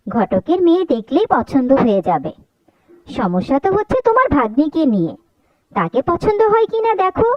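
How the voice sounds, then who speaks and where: A woman voicing a scheming, sharp-tongued middle-aged mother in a cartoon, with an emphatic, scolding way of talking. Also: sits high, no room sound, full character voice, low-quality recording. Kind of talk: Bengali cartoon voice acting.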